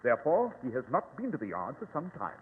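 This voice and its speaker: An actor playing a brilliant, haughty English gentleman detective in old-time radio drama, precise, pompous and commanding. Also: mid-range voice, wildly swooping pitch, quick pace, husky, exaggerated voice. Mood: cool, knowing. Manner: precise, pompous, theatrical delivery. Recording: tinny, noisy, reverberant old radio recording.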